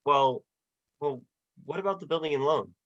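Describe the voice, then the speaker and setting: A man's voice: on the high side, normal speed, dull audio, natural voice. A young man playing an earnest, stammering, hot-headed small-town husband in a folksy 1940s radio drama style. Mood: flustered, incredulous. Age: young adult.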